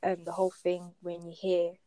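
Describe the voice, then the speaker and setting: A woman's voice: fairly low pitch, monotone, moderate pace, mellow, no room sound. A woman hosting a podcast in an informal, conversational way. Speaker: podcast host.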